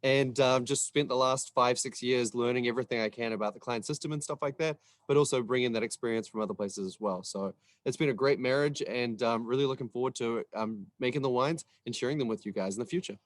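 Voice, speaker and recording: A man's voice: mid-range voice, fast talker, dry recording. A man from New Zealand with a New Zealand accent, talking casually as a winemaker introducing himself. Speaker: head winemaker.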